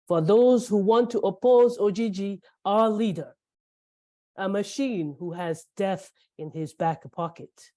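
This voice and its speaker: Slow, dark-voiced menacing woman as a zealot. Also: medium pitch, lightly acted. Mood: ominous, proud. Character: menacing enemy faction zealot, loyal to a deathly machine leader. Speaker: actor in a live script reading. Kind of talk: dramatic script table read.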